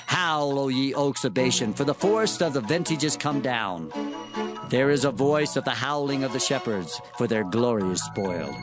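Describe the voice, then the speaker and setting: Casting: male actor as solemn biblical prophet, higher-pitched voice, declamatory archaic delivery, scored audio scripture. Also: expressive, moderate pace, over music, natural voice. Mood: grave, forceful. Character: male prophet solemnly proclaiming divine oracles. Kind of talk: dramatized scripture reading.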